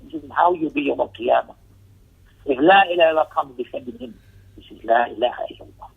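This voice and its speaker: Husky, high-pitched man lecturing over tinny audio. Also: sing-song, quick pace. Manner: emphatic, repeats phrases and asks rhetorical questions; switches into Arabic recitation. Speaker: religious teacher giving a lesson. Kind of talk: Islamic lecture with commentary on a text. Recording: tinny, narrow telephone-like audio.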